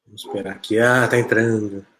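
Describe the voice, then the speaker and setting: A man hosting a live online interview show, talking in a relaxed, chatty way with frequent "né?" tags. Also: fairly low pitch, brisk. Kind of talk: casual live-stream chat.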